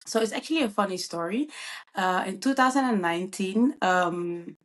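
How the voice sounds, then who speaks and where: A woman's voice: medium pitch, lively intonation, normal speed. A bubbly woman. She answers interview questions casually, with frequent ums and uhs. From Netherlands. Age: 20s. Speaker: guest, event planner.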